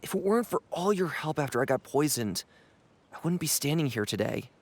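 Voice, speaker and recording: A man's voice: on the high side, very animated, a little fast. A man voicing an apologetic young man bound by rules in a video game, hesitant, earnest and remorseful.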